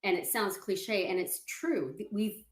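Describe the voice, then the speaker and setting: A woman confidence coach, speaking as an interview guest over a video call and calmly walking the listener through exercises. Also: medium pitch, slow pace, reverberant. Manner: calm, explanatory, walks the listener through exercises. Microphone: video call setup.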